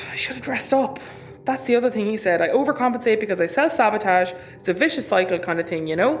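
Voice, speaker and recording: A woman's voice: medium pitch, lively intonation, fast, slight background noise, close to an everyday voice. Woman playing a tough, neurotic ship's officer. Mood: anxious. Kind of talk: sci-fi audio comedy acting.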